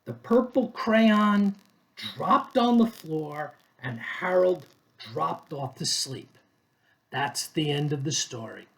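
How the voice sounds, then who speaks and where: A man reading a children's story aloud expressively, pausing between short phrases. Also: fairly high pitch, animated, low-quality recording. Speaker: storybook reader.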